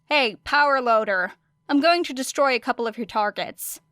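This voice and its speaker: A woman's voice: high voice, normal speed, bright, forceful tone, clean tone, dry recording. A woman voicing a wry, nonchalant young female fighter in an audiobook story, casual and dry.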